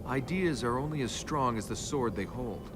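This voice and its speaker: A man's voice: mid-range voice, moderate pace, lightly acted. An actor playing a flippant, sardonic young male adventurer in a video game, talking casually. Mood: wry, casual. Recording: clear background noise.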